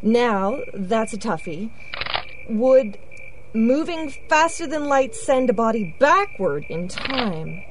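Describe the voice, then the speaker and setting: An actress playing a witty, self-assured quantum physicist in an audio drama, explaining things in a wry way. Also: female voice, on the high side, very animated, takes it slowly, a little hiss or hum. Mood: wry, explanatory. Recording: outdoor night ambience with crickets, frogs. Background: crickets and frogs.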